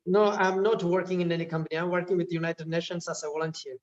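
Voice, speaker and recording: A man's voice: sits high, little pitch movement, fast, muffled recording. A man, chatting casually and hesitantly with lots of fillers and restarts. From Kerala, India. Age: 20s. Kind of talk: casual chat.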